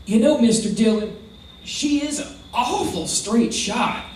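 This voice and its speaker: The recording is very roomy and distant. A woman acts out a naive frontier deputy in a radio western, high-pitched, eager to please and folksy in his talk. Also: expressive, measured pace, a little mellow, slight background noise. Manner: deferential, folksy, easily awed. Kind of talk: old-time radio western acting. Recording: distant, very echoey stage recording.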